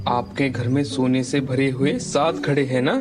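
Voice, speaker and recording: A man's voice: medium pitch, fairly even intonation, a little slow, over music, close to an everyday voice. A man voicing a grave, instructive holy man in a cartoon moral story. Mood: grave warning. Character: solemn holy man, a village sage. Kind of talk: animated moral-story dialogue.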